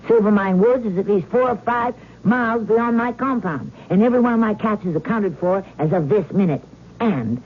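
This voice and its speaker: A woman's voice: fairly low pitch, husky. An actress playing a proud, stubborn old woman devoted to her cats in a radio drama, with a tart, imperious manner. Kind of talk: old-time radio drama acting with first-person narration. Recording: muffled, noisy vintage radio recording.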